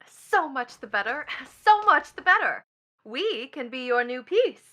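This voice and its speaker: A brazen, teasing stranger in a radio drama. Her voice is high and bright, with theatrical, swooping intonation. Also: sing-song, unhurried, exaggerated voice, low-quality recording. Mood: gleeful, teasing. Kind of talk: theatrical radio play acting.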